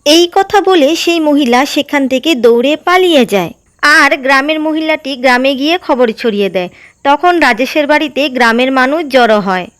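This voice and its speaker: A woman narrating a cartoon moral story in a steady, gentle way, with faint music behind. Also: sits high, moderate pace. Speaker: narrator and voice of Seema.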